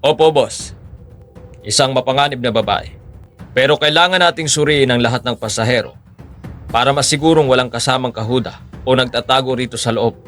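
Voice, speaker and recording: A man playing a stern, methodical police officer in an audio drama, speaking formally and with measured authority. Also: mid-range voice, a bit bright, soft background music, close to an everyday voice. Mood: suspicious, firm. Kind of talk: audio drama acting in Tagalog.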